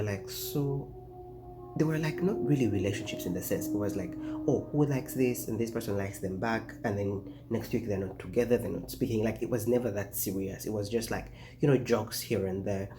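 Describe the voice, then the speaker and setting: A man's voice: fairly low pitch, mellow, a little hiss or hum. A man, chatting casually to camera in a rambling way, full of fillers and restarts. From Uganda. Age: 20s. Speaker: vlogger. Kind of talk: casual talking-head vlog.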